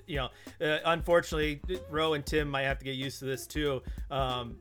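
An American man chatting casually as a podcast guest, telling things in an animated, emphatic way. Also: a little high, fairly quick, soft background music. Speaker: podcast co-host appearing as guest.

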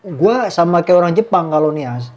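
A man's voice: on the high side, lively intonation, moderate pace, darkish tone. A man chatting casually, explaining things with easy examples. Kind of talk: casual chat. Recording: muffled lo-fi audio.

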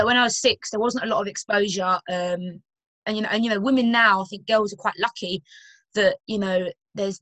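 A woman's voice: mid-range voice, fairly bright tone, no echo, low-quality recording. A young woman in her twenties with a London accent, chatting as a guest in a casual podcast interview, full of fillers and restarts. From Romford, London. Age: 20s. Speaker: guest footballer and personal trainer.

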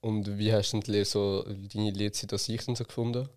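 Interviewer, quick talker, short curious questions. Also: deep voice, flat delivery, darkish tone. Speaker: interviewer, podcast host.

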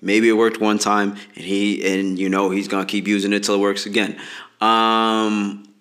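A man's voice: on the low side, level tone, normal speed, a bit bright. Laid-back man in his late twenties. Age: late 20s. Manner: laid-back, joking, slangy advice. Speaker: podcast co-host. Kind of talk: casual chat.

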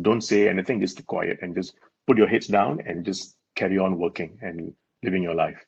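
A man's voice: on the low side, creaky, a little mellow, slightly rough. A man from Malaysia, a guest in an interview, explaining in an earnest way and laughing often. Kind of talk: conversational interview. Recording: dull lo-fi sound.

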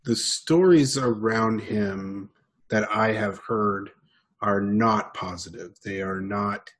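A man's voice: fairly low pitch, slow pace, a bit bright. A man chatting on a podcast, telling a story casually, swearing and correcting himself as he goes. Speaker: podcast co-host.

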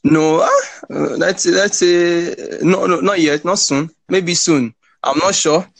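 A man, hosting a casual podcast chat, halting and full of fillers and repeats. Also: high-pitched, vocal fry, dry recording. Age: 20s. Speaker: podcast host. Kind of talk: casual conversation.

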